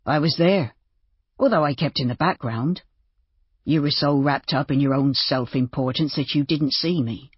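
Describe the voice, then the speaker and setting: Narrator putting on a cool, self-assured gentleman, husky. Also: medium pitch, no room sound, dull audio, natural voice. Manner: casual, deliberate, unruffled. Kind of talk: audiobook character dialogue.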